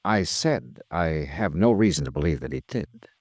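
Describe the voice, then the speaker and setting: An actor playing a smooth, wry insurance investigator in a 1950s radio detective drama, probing politely with deliberate pauses. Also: male voice, a bass voice, expressive, a little mellow, no echo, studio quality. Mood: firm, insistent. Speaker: lead actor and narrator.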